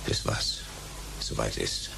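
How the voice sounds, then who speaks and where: An actor playing a laconic, wry private detective, narrating a science-fiction radio drama in deadpan noir style. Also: male voice, takes it slowly, whispered, lightly acted, low-quality recording.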